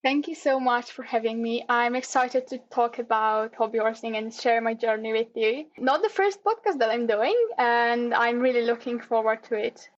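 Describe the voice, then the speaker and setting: A woman's voice: a little high, moderate pace, few pauses. A Polish woman in her twenties with a Polish accent, chatting as a guest in an interview. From Poland. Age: 20s. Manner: friendly, chatty with frequent fillers. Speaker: guest, hobby horse competitor. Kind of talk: casual interview. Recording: dull lo-fi audio.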